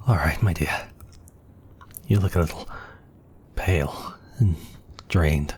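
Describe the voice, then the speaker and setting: A man's voice: extremely low pitch, slow pace, darkish tone, a little hiss or hum. A man playing a seductive, obsessive vampire count in an intimate ASMR roleplay, murmuring and often slipping into whispers. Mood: hungry, tender. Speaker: audio roleplay voice actor.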